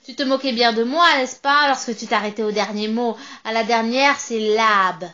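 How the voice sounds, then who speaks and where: A woman voicing a small, nasty old witch with a shrill, sour voice and a sneering, mocking manner. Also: expressive, unhurried, pushed and bright, muffled recording, full character voice. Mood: gloating. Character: nasty old female witch in a broom cupboard.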